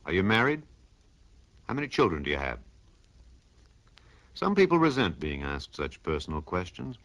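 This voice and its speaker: A man's voice: deep voice, wildly swooping pitch, normal speed, a bit bright. A man announcing a scripted 1950s radio public service message, instructive and given to rhetorical questions.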